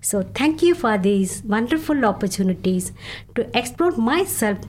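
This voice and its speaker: An older woman with a South Indian accent, a native Tamil speaker, speaking as a live radio guest in an earnest, halting, grateful way. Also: fairly high pitch, animated. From Tamil Nadu, India. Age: 60s or older. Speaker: radio guest, community council member. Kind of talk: live radio self-introduction. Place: radio studio.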